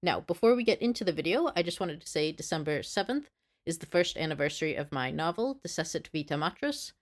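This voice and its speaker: A woman's voice: low voice, lively intonation, fast. A woman narrating a scripted history lesson, earnest, with apologetic asides. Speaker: history video creator.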